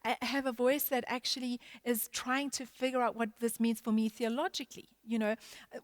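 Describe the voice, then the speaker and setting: A woman's voice: fairly high pitch, dry recording. A woman church leader speaking in a church panel discussion, thinking aloud with many restarts and fillers.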